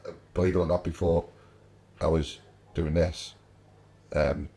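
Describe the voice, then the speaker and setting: Low-voiced male podcast host, relaxed. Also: unhurried. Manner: relaxed, chatty, agreeable with frequent affirmations. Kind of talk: casual podcast conversation.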